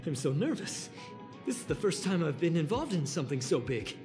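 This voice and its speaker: A woman's voice: low voice, sing-song, normal speed. A woman voicing a nervous, earnest, self-blaming young office assistant in a video game. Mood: downcast, earnest. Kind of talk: video game character dialogue. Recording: game music behind the voice.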